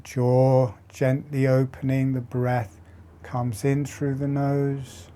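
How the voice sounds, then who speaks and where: Male meditation guide, slow and steady, low-key even delivery, mellow tone. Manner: slow, soft, halting phrases with long pauses. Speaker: meditation teacher.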